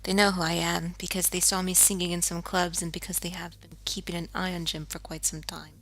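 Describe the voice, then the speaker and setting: A haunted, grieving jazz singer. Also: female voice, on the low side, level tone, a little fast, rough recording. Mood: weary. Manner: quiet, bitter, world-weary, often hushed to a whisper. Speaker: game character. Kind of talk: scripted game voice acting, confessional narration. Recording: faint music underneath.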